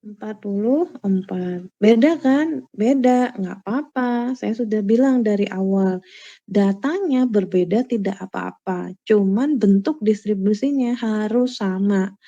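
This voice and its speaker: A woman's voice: mid-range voice, mellow. A woman lecturer talking students through a spreadsheet step by step, often checking that they follow. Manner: explanatory, checks understanding with quick questions. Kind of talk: screen-recorded spreadsheet lecture. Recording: dull lo-fi recording.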